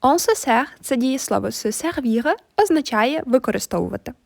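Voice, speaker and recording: Woman, language teacher, explanatory narration, fairly high voice. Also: animated, normal speed, gravelly, darkish tone, slight background noise. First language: Ukrainian. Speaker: French teacher.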